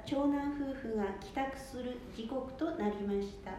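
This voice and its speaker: A woman giving calm scripted narration for a radio play in a very echoey room. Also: fairly high pitch, moderate pace, very noisy.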